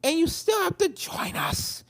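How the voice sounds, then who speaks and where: A storybook reader voicing young cartoon pony friends, high-pitched, gently scolding and reassuring. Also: animated, unhurried, vocal fry, exaggerated voice. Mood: cheerful, reassuring.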